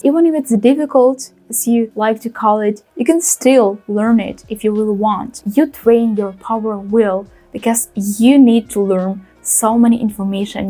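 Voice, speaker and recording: A woman's voice: medium pitch, dark tone. A young woman whose first language is Ukrainian, giving direct, opinionated advice to camera as a language vlogger. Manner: direct, opinionated, with quick asides. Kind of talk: casual talking-to-camera advice.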